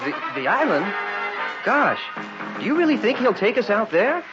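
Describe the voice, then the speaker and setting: Eager, high-voiced boyish student. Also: male voice, sing-song, moderate pace. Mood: eager, wondering. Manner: gee-whiz, folksy enthusiasm. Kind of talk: vintage radio drama acting. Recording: dull vintage radio recording, music behind.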